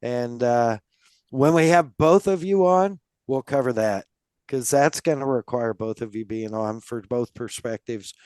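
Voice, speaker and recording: Rambling male podcast host, smooth dry voice. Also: medium pitch, unhurried, darkish tone, no echo. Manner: rambling, many fillers and restarts. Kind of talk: casual interview chat.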